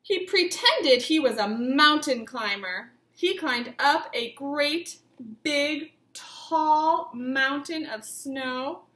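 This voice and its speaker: Slow, high-voiced woman reading to children. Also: fairly bright tone, roomy sound. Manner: simple instructive phrasing, questions addressed to children. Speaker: early childhood teacher. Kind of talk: read-aloud picture book lesson for young children.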